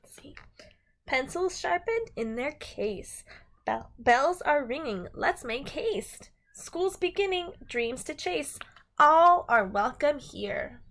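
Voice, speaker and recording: A woman's voice: sits high, lively intonation, slow pace, a bit bright, poor audio. A woman teacher doing a read-aloud storytime for young children in a sing-song manner.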